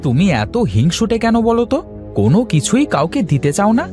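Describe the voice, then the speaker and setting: A man's voice: high voice, wildly swooping pitch, brisk. A man plays a young village husband in a fairy-tale cartoon, generous but exasperated. His reproachful, pleading speech rises and falls a lot. Light music sits underneath.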